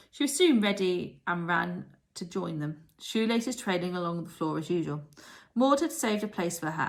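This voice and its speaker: A woman reading a children's story aloud as an expressive narrator. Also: fairly low pitch, vocal fry. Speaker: storybook reader.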